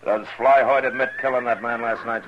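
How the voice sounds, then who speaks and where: An actor plays a steady US marshal of the Old West in a 1950s radio western. He asks terse questions with dry, sardonic wit. The old recording is dull and boxed in. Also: mid-range voice, brisk, slight background noise, lightly acted. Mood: firm, dry.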